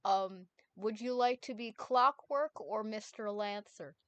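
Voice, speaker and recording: A woman's voice: medium pitch, takes it slowly. A woman hosting a casual stream, giggling often and swearing playfully as she chats and reads a story summary aloud. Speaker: streamer host.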